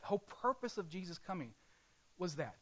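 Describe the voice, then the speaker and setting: A male pastor preaching a sermon in a conversational way, often pausing and correcting himself. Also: sits high, very animated, pushed and bright, breathy edge, lo-fi sound.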